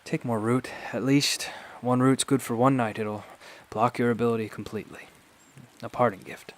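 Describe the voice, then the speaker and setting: An actor playing a calm, persuasive doctor in a radio drama, measured, politely formal and wry. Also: male voice, medium pitch, close to an everyday voice.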